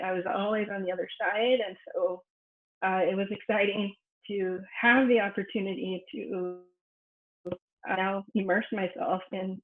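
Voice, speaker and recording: A woman researcher whose first language is Spanish, giving a personal academic presentation with many pauses and fillers. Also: mid-range voice. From United States. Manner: thoughtful, frequent fillers and restarts. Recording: echoey room over a phone-like line.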